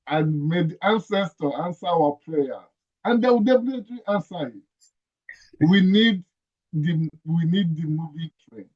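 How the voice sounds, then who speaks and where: A man's voice: fairly high pitch, expressive, unhurried, darkish tone. A man with a Nigerian accent, a guest speaking earnestly and emphatically on a video call, often repeating his phrases. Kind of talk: conversational interview. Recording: dull lo-fi call audio.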